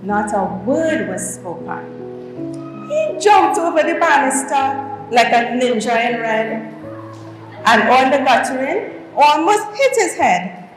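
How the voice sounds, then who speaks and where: A woman with a Trinidadian accent reads a comic rhyming story aloud in an expressive, theatrical way, with deliberate phrasing. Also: high voice. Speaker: guest storyteller reading aloud at a school. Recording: very echoey hall, distant mic, faint music.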